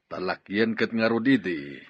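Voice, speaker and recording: Audio drama role, man acting: philosophizing jovial boss, Ilocano accent, swooping husky voice, dullish recording. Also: on the low side, lively intonation, moderate pace, highs cut off, slight background noise, natural voice. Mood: musing, amused. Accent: Ilocano Filipino. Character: male boss, philosophizing about love, jovial.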